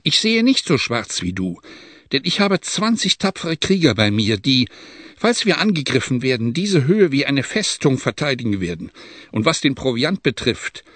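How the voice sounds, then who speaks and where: A man's voice: mid-range voice, big pitch swings, normal speed, fairly bright tone, dry recording, voice pushed up. Headstrong desert sheikh, read by a man, self-assured and argumentative, dry close sound. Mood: confident. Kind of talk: dramatized audiobook reading.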